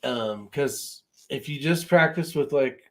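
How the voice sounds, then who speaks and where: A man's voice: mid-range voice, slow, slight background noise. A man hosting a casual live-stream chat, talking in an informal, loose and rambling way. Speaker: host of a shooting live chat show.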